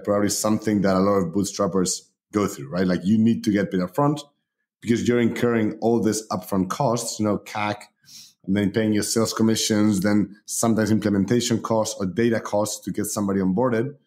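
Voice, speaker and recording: Casting: slightly low-voiced man from Spain, founder guest, relaxed and filler-heavy. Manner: relaxed, many fillers like "you know". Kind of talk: casual interview talk.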